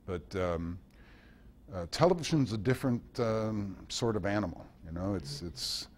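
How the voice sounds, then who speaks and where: A man giving an informal talk and answering questions in a halting, reflective storytelling manner. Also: lowish voice, animated, darkish tone. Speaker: guest speaker.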